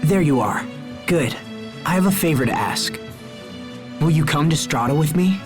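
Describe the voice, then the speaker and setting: A man's voice: a little high, animated, normal speed, natural voice. Man acting an earnest young hero. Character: earnest young male hero, sincere and determined. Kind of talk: dramatic video game voice acting. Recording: music behind the voice.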